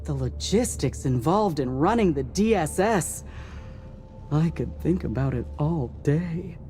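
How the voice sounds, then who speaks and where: A logistics-obsessed male starship crewman in a sci-fi war game. His voice is fairly high but soft-edged and dark in tone as he muses admiringly, over faint music. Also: lively intonation, unhurried. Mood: absorbed, admiring. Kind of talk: video game character dialogue.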